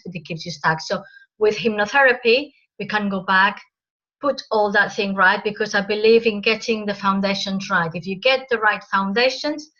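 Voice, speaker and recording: A woman's voice: medium pitch, bright, forceful tone. A woman introducing herself as a counselor and hypnotherapist, explaining her work steadily over a video call. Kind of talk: networking self-introduction. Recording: muffled video call audio.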